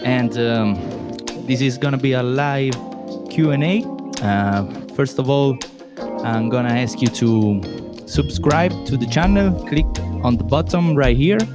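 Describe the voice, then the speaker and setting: A man's voice: medium pitch, a little slow, over music. A man from Rome in his late twenties with an Italian accent, hosting a live podcast Q&A, chatty and hunting for words with many fillers. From Italy, Rome. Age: late 20s. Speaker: podcast host and musician. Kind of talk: live solo Q&A stream.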